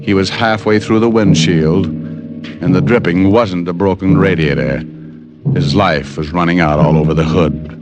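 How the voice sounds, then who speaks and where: An actor playing a wisecracking private detective, narrating in an old radio drama. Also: male voice, low-pitched, steady tone, measured pace, over music. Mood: grim. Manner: dry wisecracking, clipped narration. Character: hard-boiled male private eye, wry. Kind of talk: 1950s radio drama acting.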